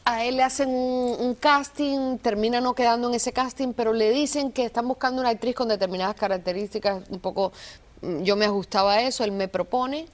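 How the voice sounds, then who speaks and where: A woman from Cuba, an actress, chatting in an interview about her career, thoughtful and unhurried, with many small pauses. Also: a little high. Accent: neutral Colombian-trained Spanish with Cuban traces. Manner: warm, reflective, many fillers and pauses. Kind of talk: casual interview talk.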